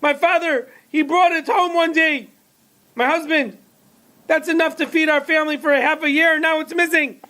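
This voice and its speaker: A man's voice: steady tone, normal speed, pushed and bright. A man voicing a frantic mother who shrieks accusations at a shopkeeper, in a storytelling teacher's classroom tale. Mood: indignant. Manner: shrill, accusing, hurls insults. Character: frantic shrieking mother, middle-aged woman.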